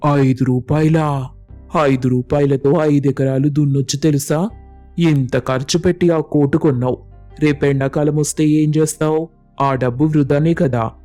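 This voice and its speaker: A male actor plays a tight-fisted village farmer from a storybook tale. He has a slightly high, mellow voice with music behind it. He grumbles scornfully and fires off irritated rhetorical questions. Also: fairly even intonation, unhurried, natural voice. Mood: scornful, calculating. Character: stingy, grumbling village farmer, irritable, money-loving man. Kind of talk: animated storybook dialogue.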